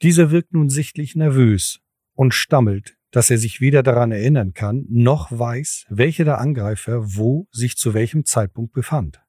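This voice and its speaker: A man's voice: medium pitch, normal speed, dark tone, dry recording, studio quality. A man narrating an audio drama from a script.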